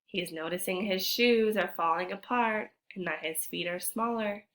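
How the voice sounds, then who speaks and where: Bright-voiced woman reading to children. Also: mid-range voice, normal speed. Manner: expressive, addressing young listeners with guiding questions. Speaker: teacher reading aloud to children. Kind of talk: animated children's storybook read-aloud with questions to listeners.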